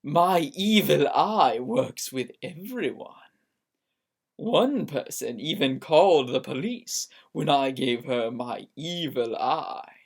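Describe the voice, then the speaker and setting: A woman narrates amateur fiction as a dramatic reading. Her delivery is slow and theatrical, with exaggerated emphasis and pitch that moves up and down a great deal. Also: animated, breathy edge. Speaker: story reader.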